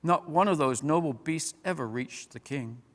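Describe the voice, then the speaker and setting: A man reading a children's fantasy story aloud as a measured, expressive, dramatic narrator. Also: fairly high pitch. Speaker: storyteller, reader. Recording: long reverberant hall.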